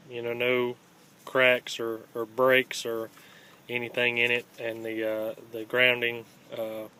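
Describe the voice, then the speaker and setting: A man giving a casual, unscripted hands-on how-to in a plainspoken, halting way, recorded on a handheld phone. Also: medium pitch, bright, forceful tone, clear, resonant tone. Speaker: host of a scrapping how-to channel. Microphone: handheld phone, filming one-handed. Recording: home phone video with faint background noise.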